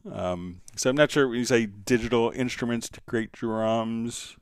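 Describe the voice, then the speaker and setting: A man hosting a casual live software tutorial, explaining step by step in a conversational way as he answers viewers. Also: mid-range voice, measured pace, smooth, no echo, clean studio sound. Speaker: livestream host and software instructor.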